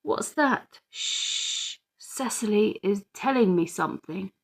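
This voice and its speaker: A woman acts out a small, wilful twin girl of about three from a children's storybook. She is excitable, childish and dramatic, pleading her case with slow, deliberate words. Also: medium pitch, creaky, breathy edge, exaggerated voice. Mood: indignant.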